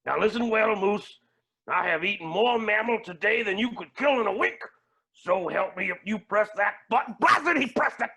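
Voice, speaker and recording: A man's voice: high voice, normal speed, gravelly, bright, forceful tone, husky, exaggerated voice. A man playing a flamboyant, scheming villain in a comedic audio drama, pompous and mock-polite with theatrical condescension. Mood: menacing.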